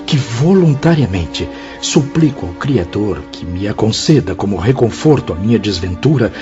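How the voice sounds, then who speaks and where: Radio drama penitent, played by a man: lowish voice, solemn, fervent, declamatory, loud music behind. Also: lively intonation, normal speed, muffled recording, lightly acted. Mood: anguished, resolute. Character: remorseful male spirit of a suicide and murderer, pleading for penance.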